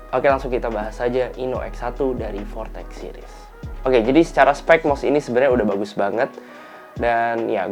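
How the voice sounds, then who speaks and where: A young man hosting a casual tech review, his nose stuffed up and his voice tired from being run-down. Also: mid-range voice. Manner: relaxed, chatty, slang-heavy delivery.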